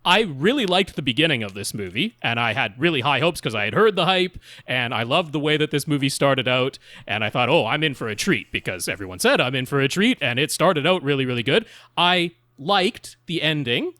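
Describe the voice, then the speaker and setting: A man co-hosting a podcast in casual, opinionated film chat, talking in an animated, rambling, self-deprecating way. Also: medium pitch, fairly quick, few pauses, no room sound. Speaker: podcast co-host.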